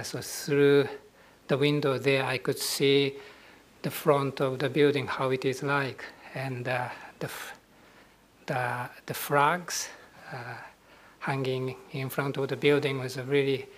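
A middle-aged man from Japan with a Japanese accent, a Buddhist monk giving a dharma talk at a ceremony in a soft, husky voice, pausing often and hesitating over his words. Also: mid-range voice. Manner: soft-spoken, hesitant, with many pauses and fillers.

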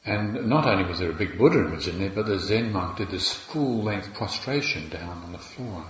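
A man with a New Zealand accent, a Buddhist monk giving a gentle, reflective dharma talk with wry asides. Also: deep voice, animated, normal speed. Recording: roomy, slightly dull recording with faint ambience.

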